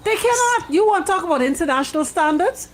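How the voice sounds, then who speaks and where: A woman's voice: very high voice, animated, quick pace. A woman with a Bahamian accent co-hosting radio, giving opinionated banter and repeating phrases for emphasis. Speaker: radio co-host.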